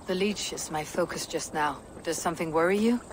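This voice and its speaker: Game role: actress as a guarded Viking warrior woman, low register, terse and blunt, noisy ambience behind. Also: fairly quick, lightly acted. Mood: grim resolve. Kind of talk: video game cutscene acting.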